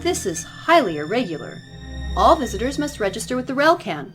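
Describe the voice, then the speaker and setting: An actress playing a stern, zealous religious leader in an audio drama, speaking in a formal, commanding, preaching way. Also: female voice, fairly high pitch, very animated, a little fast, fairly bright tone, poor audio. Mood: stern, accusing. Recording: music playing behind the voice.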